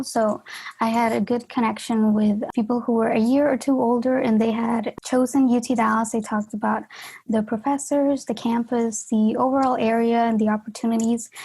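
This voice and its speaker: A young woman in her twenties from Honduras, a graduate student answering interview questions in an earnest, measured way. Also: a little high, mellow, slightly rough, rough recording. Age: 20s.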